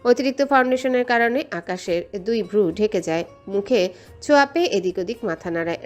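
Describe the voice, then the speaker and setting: Woman, story narrator, mid pitch, scripted romantic narration, light background music. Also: lively intonation, a little fast.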